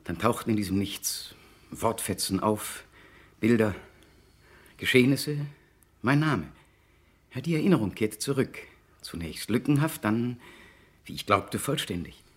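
A man's voice: lowish voice, expressive, unhurried, long pauses, husky. A man acting an anxious, tormented client in a 1960s German radio play, speaking hesitantly and broodingly as he confides. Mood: troubled, confessing. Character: anxious, tormented man seeking a private detective's help.

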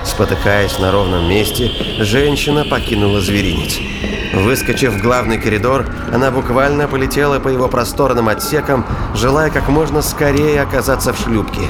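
A man's voice: medium pitch, normal speed, heavy background noise. A man reading scripted, dramatic narration as the narrator of a science fiction thriller audio drama. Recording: loud music and effects under voice. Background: music with sound effects.

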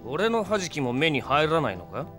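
Swaggering, sardonic gunslinger, bright voice. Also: male voice, a little high, sing-song, moderate pace, faint music behind. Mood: taunting. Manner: swaggering, taunting. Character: cocky male gunslinger-for-hire in cowboy getup, sardonic. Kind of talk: Japanese audio drama acting.

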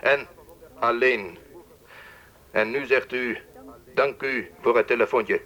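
Dull phone-line voice, stern informant. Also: male voice, mid-range voice, fairly even intonation, pushed and bright. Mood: stern, secretive. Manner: curt, controlling, deliberate. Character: stern, secretive male informant on the telephone. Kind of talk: radio drama acting. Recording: dull, band-limited telephone-like sound.

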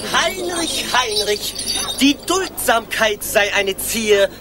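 A man's voice: very high-pitched, lively intonation, slow pace, heavily acted. An actor playing a mocking male court jester who teases in rhyming verse, in a radio play. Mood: teasing. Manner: teasing sing-song rhyming verse. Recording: radio play with music behind.

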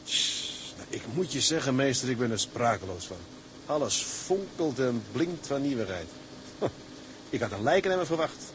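An actor playing an earnest, restless young tugboat mate in a radio drama, with a husky voice. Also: male voice, medium pitch, big pitch swings, moderate pace, noisy background, lightly acted. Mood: wary, amazed.

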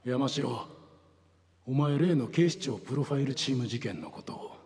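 An actor playing a grave older police section chief in a radio drama, gravelly and breathy, confiding in deliberate phrases. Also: male voice, medium pitch, darkish tone. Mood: grave, secretive. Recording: long hall reverb.

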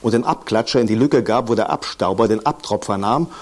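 Low-pitched male radio feature narrator. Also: fairly quick. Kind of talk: scripted literary narration.

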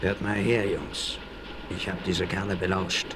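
An actor playing a scheming, bossy outlaw gang leader in a conspiratorial, commanding manner in a 1960s radio play. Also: male voice, low voice, little pitch movement, a little slow. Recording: noisy 1960s vinyl record rip.

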